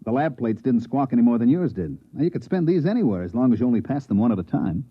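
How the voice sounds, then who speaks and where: An actor playing a wry, deadpan government investigator who narrates his case in slangy 1950s radio drama style. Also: medium pitch, fast, husky, lo-fi sound. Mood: brisk, matter-of-fact. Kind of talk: 1950s radio drama acting, first-person narration and dialogue. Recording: vintage 1950s radio recording, band-limited.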